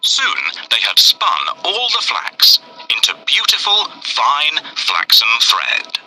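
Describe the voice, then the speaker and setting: A man narrating a scripted children's fairy tale in an expressive, deliberate way. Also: medium pitch, husky, poor audio. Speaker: storyteller.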